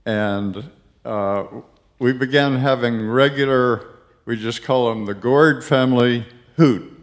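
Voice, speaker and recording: Man talking super slow, lots of ums, sharing family stuff at church. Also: mid-range voice. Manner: hesitant, full of ums and pauses. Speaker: congregation member. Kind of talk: personal sharing at a service. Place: church service.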